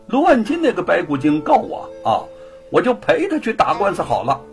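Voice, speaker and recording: A witty young scholar, played by a male storyteller talks in a bright, teasing, carefree way. His voice sits high and moves a lot. Soft guitar sounds underneath. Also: very animated, normal speed, exaggerated voice. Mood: breezy. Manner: breezy, teasing.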